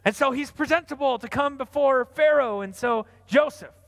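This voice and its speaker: A man preaching, retelling a Bible story in a chatty, animated way. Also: very high-pitched, moderate pace. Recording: long hall reverb.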